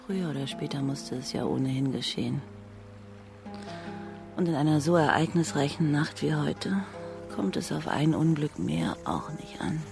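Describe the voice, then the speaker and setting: An actress in a German radio drama playing a proud, guarded young street acrobat with a dry, mocking manner. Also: female voice, low voice, expressive, normal speed, gravelly, music in the background. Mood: resigned. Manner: dry, sardonic.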